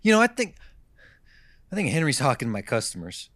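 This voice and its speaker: A man's voice: mid-range voice, huge pitch swings, fairly quick, a bit bright, dry recording. Man acting frustrated mechanic, hesitant grumbler, radio drama, very varied intonation, dry recording. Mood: angry. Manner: hesitant, grumbling, swearing to himself. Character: frustrated small-town male mechanic, bitter and muttering.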